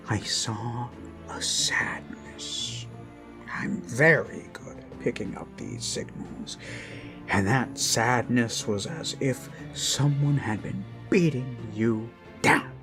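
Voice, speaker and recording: Man acting a slow, hushed, obsessive kidnapper. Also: medium pitch. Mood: tender, wistful. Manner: hushed, halting, creepy, slipping between murmurs and sudden emphasis. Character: obsessive male yandere kidnapper, gushing, menacing, self-abasing zealot. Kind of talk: intimate ASMR roleplay monologue. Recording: background music under close voice. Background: music.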